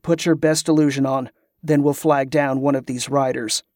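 A man voicing a calm, resolute leader of a party in an audiobook story. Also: mid-range voice, normal speed, no room sound, clean studio sound, close to an everyday voice. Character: Teska, calm, decisive male leader.

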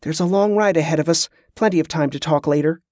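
A man's voice: fairly high pitch, fast, a little mellow, no room sound, studio quality, natural voice. A man voicing a composed, diplomatic woman who leads a band of travellers in a fantasy audiobook, polite and controlled. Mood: reflective.

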